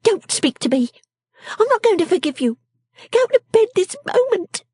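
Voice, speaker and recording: A woman voicing a sharp-tongued, sickly young girl dressmaker from a storybook, bossy, scolding and snappish. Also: extremely high pitch, sing-song, moderate pace, no echo, clean studio sound, pitched above the performer's own voice. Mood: angry, snapping. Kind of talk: storybook character acting.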